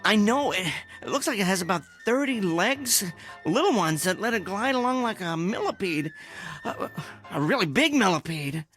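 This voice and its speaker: Fussy henpecked inventor, animated. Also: male voice, high voice, moderate pace, faint music behind. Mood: alarmed. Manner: fussy, muttering to himself, exclaiming. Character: henpecked, timid middle-aged amateur inventor husband. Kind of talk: radio drama acting.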